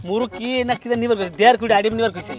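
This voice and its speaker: Actor as an excitable high-pitched village fisherman. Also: racing, soft background music, close to an everyday voice. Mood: boastful, chatty. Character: excitable, reluctant village fisherman, a married man. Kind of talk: rural comedy short-film acting.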